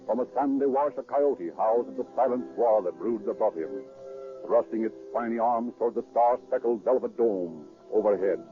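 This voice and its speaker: A man's voice: mid-range voice, moderate pace, lightly acted. A man acting as a grave, florid narrator of a true-crime radio drama in dramatic 1930s style. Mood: solemn, atmospheric. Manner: declamatory, florid, solemn. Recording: tinny 1930s radio broadcast, music behind.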